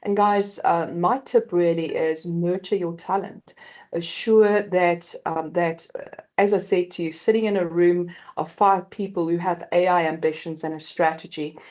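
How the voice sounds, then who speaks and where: A South African woman in her fifties speaking on a conference panel, halting with many fillers and restarts, often calling the audience guys. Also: lowish voice, fairly even intonation, a little mellow, dull audio.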